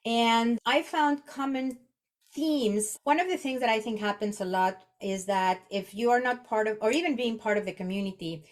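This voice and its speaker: Mexican-accented woman, halting and conversational. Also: a little high. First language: Spanish. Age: 40s. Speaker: podcast guest, executive coach. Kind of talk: conversational interview, personal reflection.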